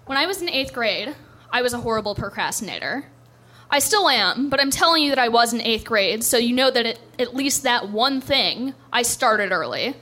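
A woman's voice: on the high side, animated, normal speed, pushed and bright. A young woman doing a stand-up set, telling a story in a dry, self-deprecating way on a club stage. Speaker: stand-up comedian.

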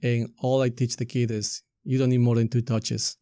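A middle-aged man with an Ecuadorian Spanish accent speaks in an interview. His delivery is level and unanimated, with a soft, dark tone and a very dry, close sound. He explains his points conversationally. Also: mid-range voice, little pitch movement, moderate pace, no echo. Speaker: podcast guest, community activist and soccer coach.

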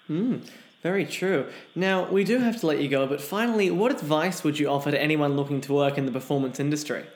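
A man's voice: on the high side, fairly quick. Young Australian man in an echoey room. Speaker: podcast host. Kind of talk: polite scripted interview hosting. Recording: roomy, echoing recording.

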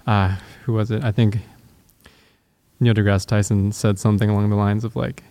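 Gravelly low-voiced man, hesitant. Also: level tone, moderate pace, dark tone, no echo. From Japan and the US. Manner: hesitant, many ums and likes. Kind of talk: casual group chat.

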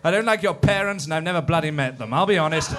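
An actor playing a rude, blustering British official in a comic audio sketch, blunt and grumbling. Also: male voice, on the high side, fast, over music, lightly acted.

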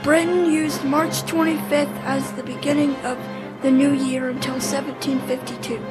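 A woman's voice: high-pitched, level tone, normal speed, loud music behind the voice, poor audio. A woman on a podcast team reading short facts aloud.

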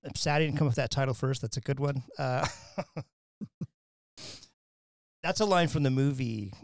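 A man from Wyoming hosting an academic podcast, talking in a loose, rambling way with many restarts and quick laughs. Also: mid-range voice, creaky, no echo, clean studio sound. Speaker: podcast host. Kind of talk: casual academic interview.

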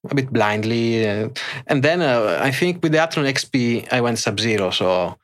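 A middle-aged man with an Italian accent, answering interview questions in a halting way with many fillers and restarts. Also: mid-range voice, animated, a bit bright. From Italy. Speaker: guest, extreme overclocker. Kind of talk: casual interview.

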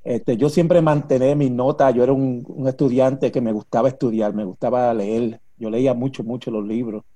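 An older man from Puerto Rico with a Puerto Rican Spanish accent, a retired police officer telling his story as an interview guest in a halting way, repeating words and using many fillers. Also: medium pitch, steady tone, warm tone. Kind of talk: casual interview chat.

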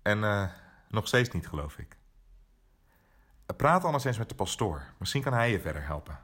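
An actor playing an awkward, guarded newsroom colleague in a naturalistic audio drama, halting and apologetic, with a slightly husky voice. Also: male voice, fairly low pitch, very animated, a bit bright, exaggerated voice. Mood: apologetic, uneasy.

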